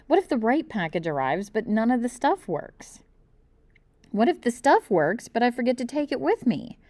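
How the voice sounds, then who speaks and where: Female storyteller for children's book narration, very animated read, dry close sound. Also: a little high, no echo. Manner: animated, acts out the dialogue. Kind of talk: expressive audiobook-style narration in the first person.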